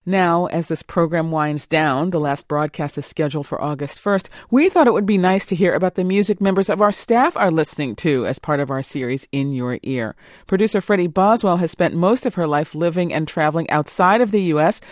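Radio host lady, smooth measured delivery, dry studio sound. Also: low-pitched, no echo. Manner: polished, measured announcer delivery. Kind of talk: public radio interview and segment intro.

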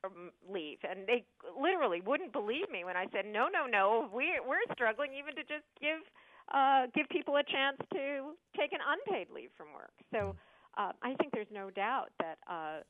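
A woman's voice: sits high, big pitch swings, normal speed, no room sound. A woman scientist answering callers on a radio call-in show, earnest, with many fillers and restarts. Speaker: scientist guest. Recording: tinny phone-like radio line.

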